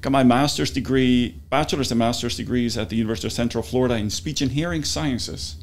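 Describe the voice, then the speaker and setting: A middle-aged man from Iceland answers interview questions into a studio mic in a small office. He speaks in a relaxed, thoughtful way and hesitates now and then. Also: mid-range voice, quick pace, slight background noise. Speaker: university research administrator, guest. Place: small office meeting room. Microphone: studio mic with pop filter.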